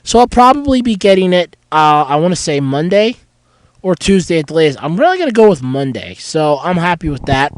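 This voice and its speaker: Hoarse man with a cold, rambling. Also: fairly high pitch, big pitch swings, a little fast, no echo. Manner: rambling, candid and chatty. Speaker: podcast host. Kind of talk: casual solo podcast chat.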